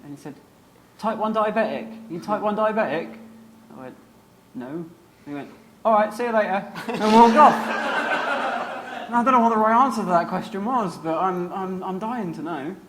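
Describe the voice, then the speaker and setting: A male comedian on a live stage telling a funny anecdote in a deadpan way. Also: sits high, moderate pace, pauses often, slight background noise. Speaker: comedian guest.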